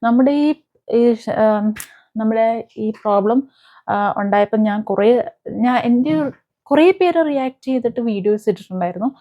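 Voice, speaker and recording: Halting woman vlogger, slightly dull sound. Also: a little high. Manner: halting, searching for words, with frequent hesitant pauses. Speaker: YouTube commentator. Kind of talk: casual reaction vlog talk to viewers.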